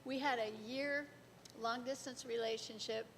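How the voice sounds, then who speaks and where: A middle-aged woman from the congregation telling a personal faith story to a church group as a lively storyteller. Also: unhurried. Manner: lively storyteller, laughs between lines. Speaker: congregation member sharing testimony. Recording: far off in a large echoing hall, faint rumble.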